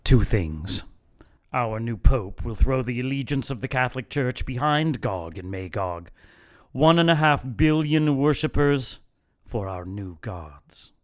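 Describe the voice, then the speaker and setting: Man acting as gloating, measured cult leader villain. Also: mid-range voice, expressive, natural voice. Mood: solemn zeal. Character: fanatical cult leader brother plotting apocalypse, calm and contemptuous. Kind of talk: audio drama villain monologue.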